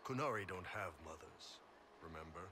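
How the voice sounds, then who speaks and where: A big horned mercenary boss in a fantasy game. His voice sits low, and he talks like a gruff, blunt commander, plain and colloquial. There is clear noise behind him. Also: lively intonation, normal speed. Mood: firm, protective. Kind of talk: video game dialogue acting. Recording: clear background ambience noise.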